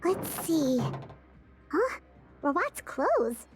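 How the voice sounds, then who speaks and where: A curious, jumpy young woman investigating in a game. Her voice is high and slow-paced, with faint music behind. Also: big pitch swings, full character voice. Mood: curious, startled. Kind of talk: video game voice acting. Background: background music.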